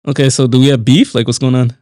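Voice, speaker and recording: A man's voice: mid-range voice, flat delivery, quick pace, mellow, no echo, studio quality. A man in his late twenties hosting a podcast, bantering and teasing his co-host in casual chat. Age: 20s.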